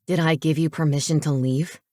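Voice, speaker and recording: Woman voicing a low-pitched, domineering teenage boy, coolly menacing. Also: moderate pace, darkish tone, no echo, studio quality, close to an everyday voice. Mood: sharp, domineering. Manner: commanding, curt, sarcastic.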